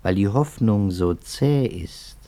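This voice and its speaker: Measured, probing investigator, deep voice, 1950s radio drama. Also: male voice, vocal fry, exaggerated voice. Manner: calm, measured, quietly challenging.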